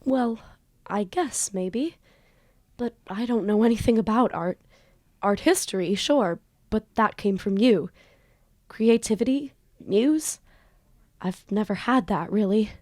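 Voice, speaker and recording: A woman's voice: medium pitch, animated, slow pace, darkish tone. A woman playing a flippant, theatrical teenage student magician in an audio drama, with a wordy, casual manner. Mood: doubtful. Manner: casual teen banter, theatrical wordy narration. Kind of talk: audio drama acting and first-person narration.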